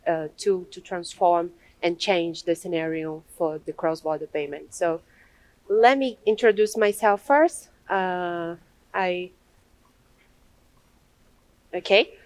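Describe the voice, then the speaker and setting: A woman's voice: lowish voice, no room sound. A young woman from Brazil with a Brazilian accent, giving a hesitant conference presentation at a podium, with many restarts and fillers. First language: Portuguese. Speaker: conference speaker. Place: large conference hall, at a podium.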